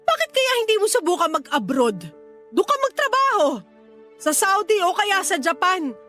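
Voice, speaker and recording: An ailing, indignant Filipino mother in a radio drama. Her voice is extremely high, pleading and full of reproach. Soft music plays faintly underneath. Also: sing-song, moderate pace, exaggerated voice. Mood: indignant, angry. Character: hurt, indignant ailing wife and mother, middle-aged. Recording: faint music bed behind voice.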